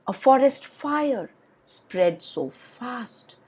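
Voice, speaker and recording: A woman narrating a story for children in an expressive way, as a host speaking directly to young listeners. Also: a little high, extremely slow, breathy edge.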